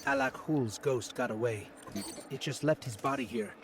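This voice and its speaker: An actor playing an earnest, protective robot companion in a video game, speaking in a calm, measured, serious way. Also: male voice, mid-range voice, heavy background noise, lightly acted. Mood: calm, alert. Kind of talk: game cutscene dialogue.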